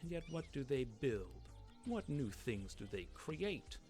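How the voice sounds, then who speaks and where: A man doing a dramatic reading as a grave, mournful fantasy storyteller, measured and solemn, for a video game. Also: medium pitch, very animated, soft background music. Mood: solemn wonder. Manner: solemn, measured, hushed at times.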